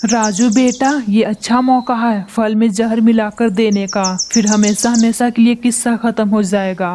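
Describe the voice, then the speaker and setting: Cartoon crow dad, plotting and curt, voiced by a woman, highish voice. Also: steady tone, normal speed, warm tone, slight background noise, close to an everyday voice. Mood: scheming. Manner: gruff, dismissive, plotting. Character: scheming male crow, husband and father. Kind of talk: Hindi cartoon voice acting.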